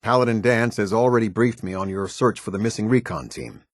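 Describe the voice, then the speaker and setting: A man voicing a stern, disciplined military captain in a video game, with a husky voice and a clipped, commanding, formal delivery. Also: lowish voice, fairly quick, no echo, studio quality, lightly acted. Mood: brisk, authoritative. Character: stern male military captain, disciplined, exacting, loyal to his order.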